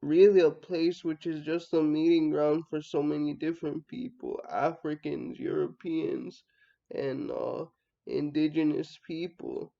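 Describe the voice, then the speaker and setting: Young man, slow halting delivery, mellow voice. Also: on the high side, steady tone, creaky, lo-fi sound. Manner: halting, thinking aloud, often trailing off. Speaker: vlogger. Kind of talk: reflective personal monologue.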